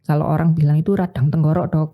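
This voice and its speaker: A middle-aged woman, an ENT doctor, patiently explaining voice problems in a casual health chat, pausing with fillers as she thinks. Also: sits low, level tone, dull audio.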